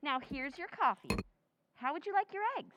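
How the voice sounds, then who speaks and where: A woman's voice: high-pitched, expressive, moderate pace, noisy background. A woman playing a doting, clingy young wife who speaks adoringly and is eager to please, in an old-time radio drama recreation. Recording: dry, dull lo-fi sound.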